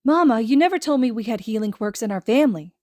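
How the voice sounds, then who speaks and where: An eager little boy, acted by a woman reading a story aloud, high and excitable. He beams through his words and slips easily into a childish whine. The sound is dry and close. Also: fast, no echo, lightly acted. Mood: puzzled, whiny.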